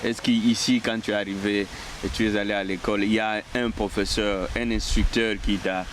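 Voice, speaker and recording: Guy with a lowish voice asking questions outside, lots of noise around. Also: little pitch movement, moderate pace, fairly bright tone. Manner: encouraging, frequent agreeing "mhm". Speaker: interviewer. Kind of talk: outdoor street interview. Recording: noisy outdoor recording.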